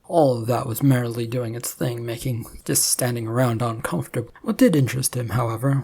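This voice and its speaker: A narrator with a husky, gravelly voice reading a scripted story. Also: medium pitch, mellow.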